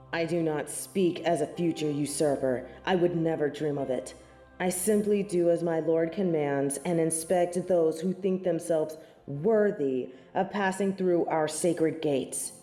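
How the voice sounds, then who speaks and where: A woman's voice: on the low side, warm tone, reverberant. A woman playing a snobby, scornful angel in a scripted audio roleplay, speaking in formal, archaic phrases with lofty disdain. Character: snobby, haughty female angel of judgement, proud, scornful tsundere.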